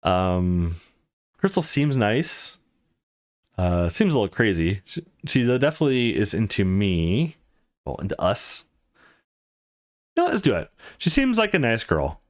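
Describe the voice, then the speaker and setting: Animated man doing casual gaming commentary. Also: on the low side, measured pace, pauses often. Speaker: let's play host. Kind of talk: casual gaming commentary and reading game dialogue aloud.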